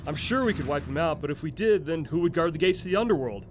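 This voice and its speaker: An actor playing a folksy, earnest retired uncle in an audio sketch comedy. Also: male voice, fairly high pitch, lively intonation, fast talker, a little hiss or hum, close to an everyday voice. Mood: earnest explaining. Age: older. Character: retired fatherly uncle, earnest and matter-of-fact about monsters.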